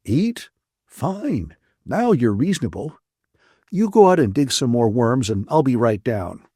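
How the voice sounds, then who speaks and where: A man's voice: sing-song, measured pace, dark tone, slightly rough, dry recording, clean studio sound. An audiobook narrator voicing a teasing, wisecracking young man who lectures his friend in a playful, mocking way. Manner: mock-lecturing, bantering, high and lilting. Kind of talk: audiobook character voice.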